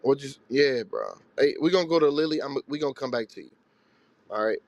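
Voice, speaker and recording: A man's voice: mid-range voice, a little fast, dry recording. A man hosting a live religious call-in show, answering callers in a blunt, rhetorical, streetwise way.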